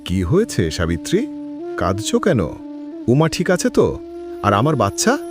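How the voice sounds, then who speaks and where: Anxious young husband, acted by a man, swooping pitch. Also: wildly swooping pitch, moderate pace, music in the background. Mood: worried. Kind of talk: cartoon horror story acting.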